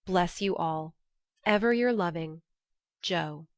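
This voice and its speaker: Lady playing spirited young woman reading her letters home, lowish voice, affectionate, expressive audiobook, dry sound. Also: slow, no echo. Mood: contented, amused. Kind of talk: dramatic audiobook reading of a classic novel.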